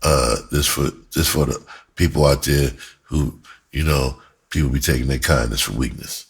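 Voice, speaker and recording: A man's voice: rumbling low, steady tone, normal speed. A man telling stories casually as a vlogger, with informal, streetwise, profane phrasing. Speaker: vlogger and rap musician. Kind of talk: casual storytelling to camera.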